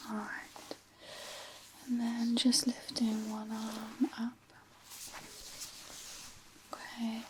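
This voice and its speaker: A woman speaks in a breathy half-whisper, playing an ASMR physiotherapist. Her delivery is very slow and level, with gentle, hesitant instructions. Noticeable background noise and small clicks sit behind her voice. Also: fairly high pitch, completely flat delivery. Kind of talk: ASMR medical roleplay, soft-spoken.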